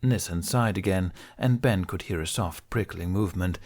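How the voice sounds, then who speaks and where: Low-voiced man narrating a story. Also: moderate pace, darkish tone. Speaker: audiobook narrator.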